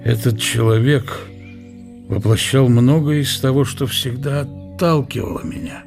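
An actor playing an ailing, aging scholar who muses bitterly and proudly on his country in a radio drama, in a husky, deliberate voice. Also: mid-range voice, a little mellow. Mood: moved, proud. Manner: deliberate, weighty, philosophical musing. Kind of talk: radio play inner monologue. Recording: music behind the voice.

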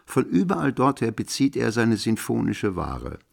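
Radio play: long-winded, formal gentleman, mid-pitched creaky voice, animated delivery. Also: normal speed, heavily acted. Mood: informative, emphatic. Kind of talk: literary radio drama recital.

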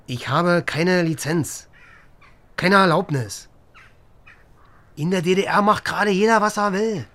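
An actor playing a cynical, sweary East German lieutenant and helicopter pilot in a naturalistic radio crime drama, agitated and sarcastic. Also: male voice, sits high, a little slow, long pauses, a bit bright. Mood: sarcastic, exasperated.

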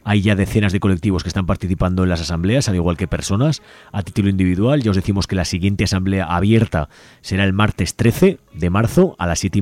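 Spanish guy from spain hosting community radio, deep-ish voice, dry sound, reading out whats on. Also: darkish tone, no room sound. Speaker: radio show host. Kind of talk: reading event listings on a community radio show.

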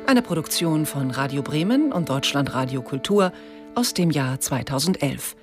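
A radio announcer reads out production credits in a scripted style. The voice sits at medium pitch, with loud plucked guitar music playing along. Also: animated, moderate pace.

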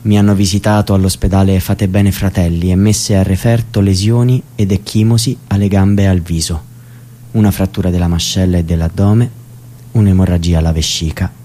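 An actor playing a young man who died in custody, recounting his own death in a grave, measured radio drama monologue. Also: low-pitched, warm tone, slight background noise, natural voice. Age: young adult. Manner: grave, measured first-person testimony. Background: music.

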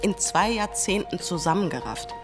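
A woman performs a cool female commentator in a scripted radio play. Her low voice is measured and wry, yet moves in lively melody over clear music. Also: natural voice.

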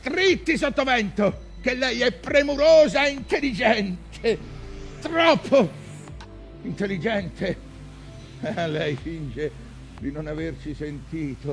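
An obsessed old whaling captain declaims his vengeance in theatrical monologue. His voice sits high with a gravelly creak, and he speaks slowly, in a dramatic stage manner. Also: heavily acted. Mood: fervent, gloating, then brooding, bitter. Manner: dramatic, declamatory, swinging from murmurs to cries.

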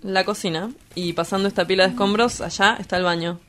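A woman's voice: mid-range voice, fairly even intonation, quick pace, fairly bright tone, slight background noise, lightly acted. A woman with an Argentine accent playing a brooding, blunt, poetic lover who lives by night, in a radio drama. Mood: dry, guarded.

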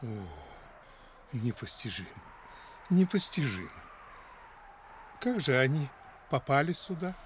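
Актёр играет почтенного сельского священника, набожного и торжественного, в радиоспектакле 1960-х. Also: male voice, medium pitch, big pitch swings, slow pace, long pauses. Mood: amazed. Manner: solemn, exclamatory. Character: venerable, pious village clergyman, sanctimonious and hesitant. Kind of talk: 1960s radio drama acting. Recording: 1960s radio, faint background noise.

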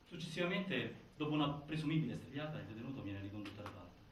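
A man's voice: medium pitch, animated, fast, a bit bright, natural voice. Grave male actor as narrator, very echoey. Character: grave male narrator laying out a prison-death inquiry. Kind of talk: dramatic scripted stage narration. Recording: very echoey, distant, faint noise.

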